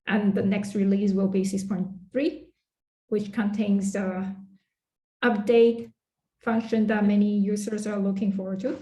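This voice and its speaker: Mellow-voiced woman hosting an online meetup. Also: medium pitch, little pitch movement, a little slow, roomy sound. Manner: friendly, hesitant, with frequent fillers and restarts. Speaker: meetup host.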